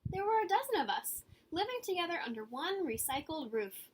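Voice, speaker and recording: A woman voicing an earnest, eager good egg telling its own story from a picture book, with expressive pauses and emphasis. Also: very high voice, a little hiss or hum, voice pushed up. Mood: cheerful, proud. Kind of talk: picture-book read-aloud.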